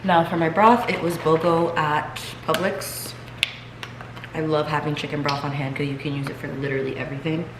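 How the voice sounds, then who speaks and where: Congested low-voiced woman chatting at home. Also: steady tone, normal speed. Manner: chatty, filler-heavy, talks straight to viewers. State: congested, recovering from illness. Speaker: vlogger. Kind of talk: casual chatty vlog. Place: home kitchen, then seated at home. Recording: echoey room with noticeable background noise.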